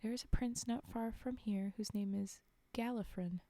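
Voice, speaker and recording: A woman's voice: a little high, steady tone, moderate pace, dark tone, dry recording, lightly acted. A woman reading aloud the part of a haughty, demanding young princess in a fairy tale, in formal storybook phrasing. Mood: imperious, aggrieved.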